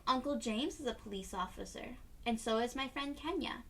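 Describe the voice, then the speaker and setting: A woman's voice: a little high, animated, normal speed. A woman voicing a patient mother in a children's storybook, explaining hard things to her child in an instructive way. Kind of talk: children's storybook read-aloud.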